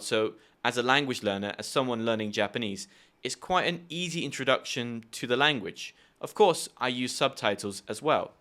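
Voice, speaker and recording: A young man with a bright, forceful tone and a middle-range pitch, talking to his viewers as a language teacher. He tells personal anecdotes and gives practical advice in a chatty, upbeat manner, full of quick asides. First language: English. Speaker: language teacher and video host. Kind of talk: friendly explanatory video lesson.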